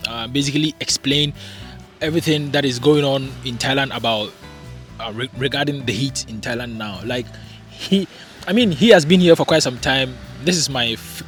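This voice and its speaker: A young man from Ghana chatting casually in a vlog, animated and full of rhetorical questions and fillers, recording at home. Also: mid-range voice, normal speed, soft background music. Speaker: vlogger. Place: home in hot, humid climate.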